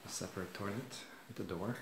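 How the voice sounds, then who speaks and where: A low-voiced man walks through an empty house, plainly pointing out rooms as a realtor. The phone recording carries clear background noise. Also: fairly even intonation, quick pace. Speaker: realtor giving a home tour. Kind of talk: casual walk-through narration. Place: empty house and its yard, indoors and outdoors.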